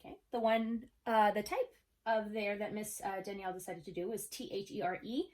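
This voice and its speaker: Lady teacher doing an online lesson for little kids, casual n encouraging, mid pitched voice, checks in a lot. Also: fairly even intonation, brisk, a little mellow. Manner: encouraging, talks to pupils as if they answer; casual, checks in often with okay. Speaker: third-grade teacher. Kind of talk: recorded video lesson for children.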